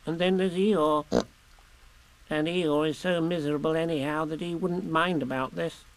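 A tiny, anxious storybook piglet, acted out by a male reader, pitched well up with a creaky edge. The little creature muses hesitantly and wistfully, sounding lonely and fretful as it thinks things over. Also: fairly even intonation, moderate pace, natural voice, low-quality recording. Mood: worried musing. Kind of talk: children's storybook character voice.

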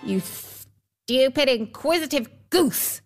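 A woman's voice: fairly high pitch, measured pace, soft background music, close to an everyday voice. A woman voicing an irritable, rude little old male dwarf from a storybook, snapping and scolding. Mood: impatient, insulting. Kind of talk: storybook character voice.

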